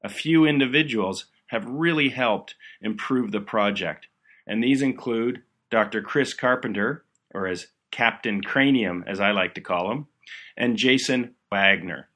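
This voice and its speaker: A man's voice: fairly low pitch, measured pace, fairly bright tone. Upbeat man hosting a medical podcast. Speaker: podcast host. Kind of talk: medical education podcast interview.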